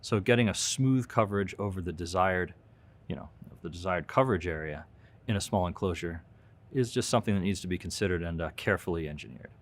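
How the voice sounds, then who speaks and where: Deep-voiced man explaining technical trade-offs. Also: quick pace. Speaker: audio engineering expert. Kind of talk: explanatory interview talk.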